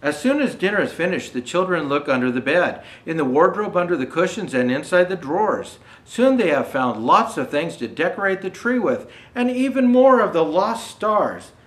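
Casting: older man as children's storyteller, very lively intonation, slightly roomy echo. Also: fairly high pitch, normal speed, hardly any pauses. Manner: gentle explanatory asides to young viewers. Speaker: storyteller dressed as Santa Claus. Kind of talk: reading a children's picture book aloud. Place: indoor room with Christmas decor.

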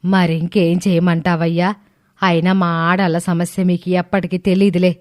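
A woman's voice: on the low side, level tone, normal speed, a little mellow, no echo, clean studio sound, natural voice. A woman voicing a bossy, defensive middle-aged mother in a cartoon story. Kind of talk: scripted cartoon dialogue.

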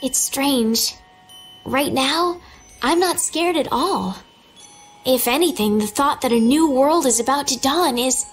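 A young fantasy game princess with a high voice. She is gentle and earnest, speaking with polite, sincere hesitation. Soft game music plays behind her. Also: slow pace. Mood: hopeful. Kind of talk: animated game voice acting.

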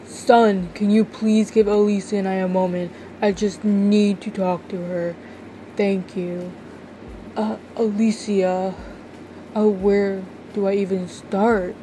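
A woman voicing a remorseful middle-aged father, halting and earnest, in an amateur roleplay drama. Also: mid-range voice, little pitch movement, dark tone, a little hiss or hum, close to an everyday voice, rough recording. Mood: apologetic. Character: remorseful middle-aged father apologizing to his grown daughter.

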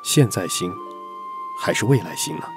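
A man's voice: low-pitched, expressive, normal speed, over music. A man playing a weary, guarded middle-aged prince in a historical audio drama, restrained and formal. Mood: gently probing.

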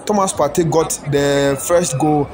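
A man giving a news report voice-over as a sports reporter, steady with a few fillers. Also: fairly high pitch, unhurried, crowd noise.

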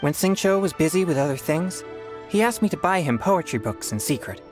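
A woman's voice: low-pitched, lively intonation, fairly quick, over music. A woman voicing an eager, cheerful young girl in a video game. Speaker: game character.

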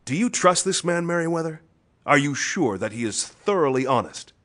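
An actor playing a hard-nosed, suspicious police detective in a pulp thriller audio drama, firing insistent, probing questions. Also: male voice, a little high, expressive, normal speed, a bit bright.

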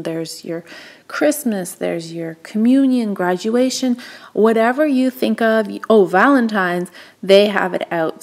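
A woman giving a casual, chatty and enthusiastic running narration as a shopping vlogger. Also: fairly low pitch. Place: indoors, in a store aisle.

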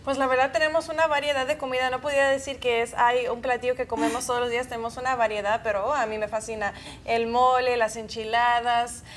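A woman co-host speaks fast and rather high on a studio set, with a brisk, friendly way of asking. A faint rumble runs underneath. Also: little pitch movement. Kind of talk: studio interview.

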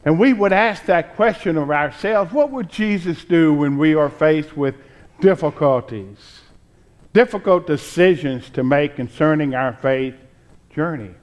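Slow, deliberate older preacher man. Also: a little high, animated, darkish tone. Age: 60s or older. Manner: deliberate, emphatic, with pauses between phrases. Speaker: pastor preaching. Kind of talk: Easter sermon from the pulpit. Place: church sanctuary, at a pulpit with choir behind.

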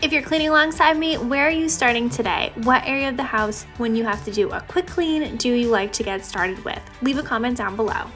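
A woman's voice: fairly high pitch, fairly quick, hardly any pauses, fairly bright tone. A young woman giving a casual, chatty voice-over as a home vlogger, talking directly to her viewers. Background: background music.

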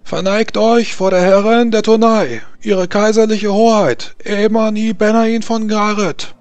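A man voicing a proud tournament marshal in a fantasy tabletop game, loudly declaiming in a ceremonial way. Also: sits high, little pitch movement, a little slow, smooth, no echo, voice pushed up. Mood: proclaiming.

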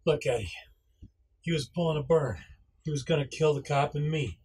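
A man's voice: fairly high pitch, moderate pace, a little mellow, slightly rough. A man playing a wounded, defiant, sarcastic robber in an amateur radio play. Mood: insistent. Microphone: VHF radio play recording. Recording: faint background noise over marine radio.

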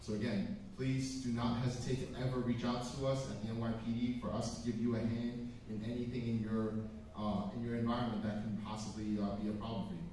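A man's voice: medium pitch, flat delivery, fairly quick, mellow, recorded from a distance, a little hiss or hum. A man in his thirties, a police officer, giving an earnest, plain-spoken speech with a handheld mic on a community hall stage. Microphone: handheld microphone. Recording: echoey hall, picked up from afar.

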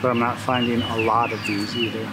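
An older man chatting briefly in an outdoor garden, giving short, relaxed replies. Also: mid-range voice, a little fast, very noisy.

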